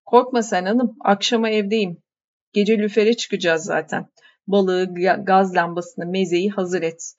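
A woman's voice: mid-range voice, steady tone, unhurried, highs cut off, close to an everyday voice. A woman voicing a friendly, talkative middle-aged village fisherman who explains things patiently. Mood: explaining. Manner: explains patiently, like reading from an encyclopedia.

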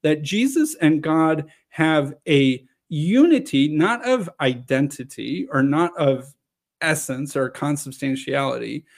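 A slightly hoarse man giving a measured lecture, reading scripture passages aloud and explaining them. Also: on the high side, lively intonation. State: slightly hoarse from long talking. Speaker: video presenter on scripture. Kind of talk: lecture-style reading and commentary.

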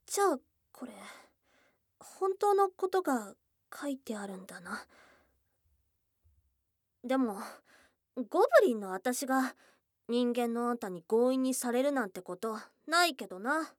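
A woman voicing a shy young goblin girlfriend in an intimate ASMR voice drama, blunt and tomboyish in wording but flustered and tender, with breathy murmurs close to the mic. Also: on the high side, sing-song, unhurried, long pauses, no room sound. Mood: hesitant, curious. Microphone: close ASMR microphone.

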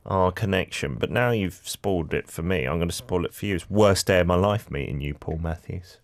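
A man's voice: low voice, fairly quick, vocal fry. A man hosting a casual radio chat, teasing his co-host and laughing often.

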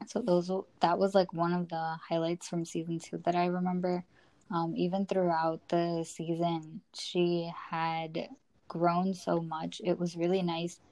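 A woman's voice: on the low side, little pitch movement, unhurried, darkish tone, dry recording, poor audio. A young woman co-hosting a podcast, chatting casually and a little hesitantly with plenty of "like" and "you know". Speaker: podcast co-host. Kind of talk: casual TV recap discussion.

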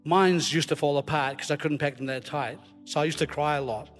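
A man's voice: on the high side, a little fast, soft background music. A Scottish man preaching informally to a congregation, telling stories in a jokey, self-deprecating way. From Scotland. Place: large hall, live before a congregation. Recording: long hall reverb, live church recording.

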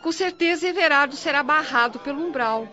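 A woman's voice: sits high, brisk, pushed and bright. An actress playing a confident woman who brushes off her friend's worries with teasing reassurance, in a radio play. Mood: breezy. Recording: dull lo-fi radio play audio.

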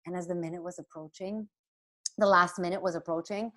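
A woman's voice: on the low side, steady tone, a little fast, a bit bright. A woman in her thirties, a podcast guest in casual chat, upbeat and storytelling.

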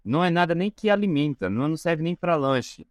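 A Brazilian man hosting a podcast, talking in a relaxed, conversational way with casual fillers. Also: a little high, a little fast, no room sound, studio quality. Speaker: podcast host. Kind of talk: casual chat.

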